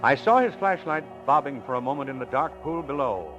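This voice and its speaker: A man's voice: mid-range voice, expressive, a little fast, over music, close to an everyday voice. An actor playing a genteel older con-man professor in an old radio suspense drama, avuncular and wordy, given to flustered stammering. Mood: grave confession.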